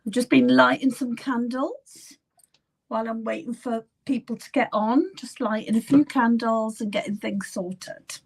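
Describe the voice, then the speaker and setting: A middle-aged woman hosting a live psychic reading session, chatting gently with viewers by name, her voice still a little croaky and at times falling to a whisper. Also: on the high side, fairly even intonation, measured pace, poor audio. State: slightly croaky voice. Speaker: psychic medium.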